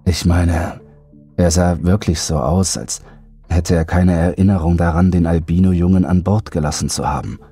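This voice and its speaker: Very deep, husky man narrating horror. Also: fairly even intonation, normal speed, mellow, dry recording, soft background music. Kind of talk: scripted first-person horror narration.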